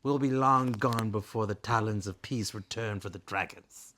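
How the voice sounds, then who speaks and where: A man's voice: fairly low pitch, normal speed, a bit bright, a little hiss or hum, lightly acted. A man voicing a fierce, bitter female dragon with a snappish, contemptuous manner in a storybook read-aloud. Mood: contemptuous, commanding.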